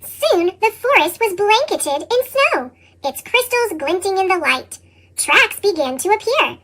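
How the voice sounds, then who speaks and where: A woman reading children's picture books aloud in narration, her voice changed with a pitched-up chipmunk effect. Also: sing-song, unhurried, a bit bright. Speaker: picture book reader.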